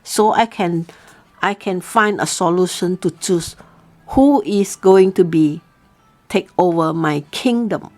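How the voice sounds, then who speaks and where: Woman telling the part of a firm, slow-spoken storybook king. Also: on the low side, a little mellow, faint music behind. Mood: firm, explaining. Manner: reasoning aloud, firm, didactic. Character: wise, explanatory old-tale king, father of three sons.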